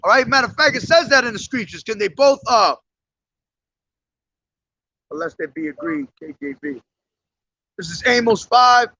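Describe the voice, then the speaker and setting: A man street preaching who reads scripture slowly and drives his points home, calling his listeners "man", his voice hoarse from days of yelling. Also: very high-pitched, expressive, no room sound, rough recording. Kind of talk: street sermon with scripture reading. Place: outdoors on a street.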